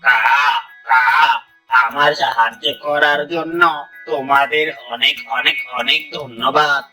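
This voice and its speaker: A man's voice: mid-range voice, steady tone, slow pace, pushed and bright, far from the mic, faint music behind, rough recording. A man voicing a wise, stern crow who guards a magic tree in a cartoon. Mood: grateful, approving. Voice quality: cawing calls between phrases. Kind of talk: Bengali moral-story cartoon voice acting.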